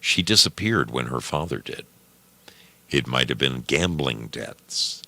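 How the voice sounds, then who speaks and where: A man reading a story aloud in a deliberate, measured manner, as scripted narration. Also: rumbling low, lively intonation, vocal fry, pushed and bright, no echo. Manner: slow, deliberate, measured delivery.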